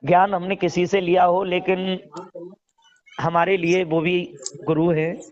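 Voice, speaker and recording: High-pitched man on a muffled phone call. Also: flat delivery, a little slow. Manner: earnest, repetitive, exhortatory, addresses listener as brother. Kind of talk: casual phone conversation. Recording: dull lo-fi recorded phone call.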